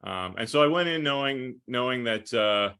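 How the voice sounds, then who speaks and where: A man's voice: medium pitch, animated, moderate pace, gravelly, sharp tone, clean tone. A man in his thirties from New York, talking casually as a broadcaster being interviewed on a podcast. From Rochester, New York, United States. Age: 30s. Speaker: podcast guest, play-by-play broadcaster.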